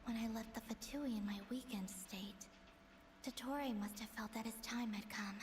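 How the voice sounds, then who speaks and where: An actress playing a guarded, composed young moon goddess in a video game, speaking in a measured, reserved way. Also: female voice, on the high side, steady tone, noisy background, natural voice. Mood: grave. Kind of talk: dramatic video game dialogue. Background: music.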